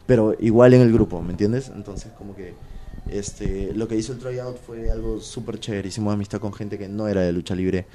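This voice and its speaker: A man's voice: fairly low pitch, fairly even intonation, a little fast, mellow, a little hiss or hum. A Peruvian man, a pro wrestler being interviewed, talking casually and animatedly with lots of slang and fillers. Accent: Peruvian Spanish. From Peru.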